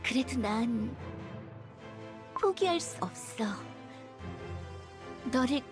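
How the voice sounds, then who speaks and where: A woman's voice: sits high, lively intonation, extremely slow, music in the background, heavily acted. A woman voicing a sweet but possessive, obsessively clinging villainess in a game cutscene, pouting and lingering. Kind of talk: scripted video game cutscene acting.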